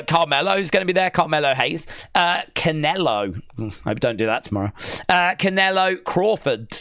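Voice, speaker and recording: A man's voice: medium pitch, a bit bright, dry recording, clean studio sound. A man hosting a live wrestling stream, chatting loosely and reading out chat, his voice weak as if from a cold. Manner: rambling, hesitant, reads chat aloud. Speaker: wrestling watch-along streamer.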